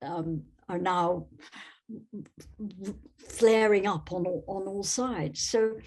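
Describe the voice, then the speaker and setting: A woman's voice: lowish voice, takes it slowly, darkish tone, rough recording. A woman from Scotland speaking as an interview guest in a thoughtful, hesitant way, searching for words. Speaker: podcast guest, novelist.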